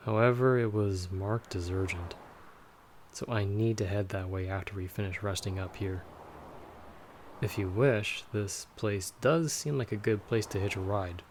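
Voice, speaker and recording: Low-voiced, dry vampire hunter played by a man. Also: slight background noise. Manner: dry, wry, measured delivery. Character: dry, guarded male vampire monster hunter, protective companion. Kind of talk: ASMR audio roleplay, scripted monologue to listener.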